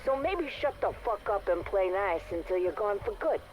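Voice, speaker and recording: An actress playing a tough, sardonic facility worker with a blunt, sarcastic, slangy way of talking in a game audio log. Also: female voice, mid-range voice, expressive, moderate pace, darkish tone, a little hiss or hum, close to an everyday voice, poor audio. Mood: angry, threatening.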